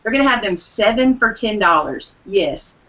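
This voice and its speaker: A woman's voice: mid-range voice, animated, moderate pace, pushed and bright, dull audio. A woman co-hosting a live craft show, eagerly announcing shopping deals while losing her voice. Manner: enthusiastic deal announcer. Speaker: co-host.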